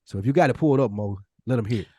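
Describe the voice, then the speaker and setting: A man's voice: mid-range voice, rapid-fire, mellow, slightly rough, dry recording, studio quality. A man hosting a podcast in a casual, slangy, informal way. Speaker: podcast host. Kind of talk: casual chat.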